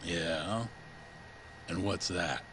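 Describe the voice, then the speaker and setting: Deep male voice, gruff coworker, blunt and teasing, game dialogue, noisy ambience. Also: very slow. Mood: blunt, questioning. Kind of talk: cinematic video game dialogue. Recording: clear background ambient noise.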